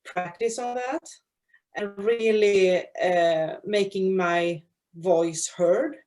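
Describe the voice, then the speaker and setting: A Swedish-accented woman guest on an online podcast, feeling her way carefully through second-language sentences at a medium pitch. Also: darkish tone. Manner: careful, halting choice of words in a second language. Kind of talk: casual conversation. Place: video call.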